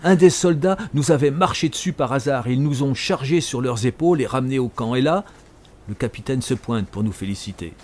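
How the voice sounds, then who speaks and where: Old soldier spinning tall tales , played by an actor. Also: male voice, mid-range voice, fairly quick, darkish tone, natural voice. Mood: wry, reminiscing. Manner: conversational, teasing, addresses the listener directly. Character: talkative old male ex-soldier telling tall tales in a tavern. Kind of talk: audio drama storytelling.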